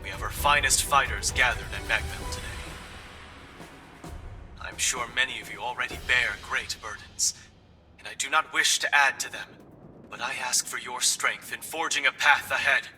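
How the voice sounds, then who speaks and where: An actor playing a solemn military commander in a game cutscene, addressing his troops in formal, measured oratory. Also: mid-range voice, sharp tone, far from the mic, faint music behind. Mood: solemn, resolute. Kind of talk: dramatic game cutscene speech.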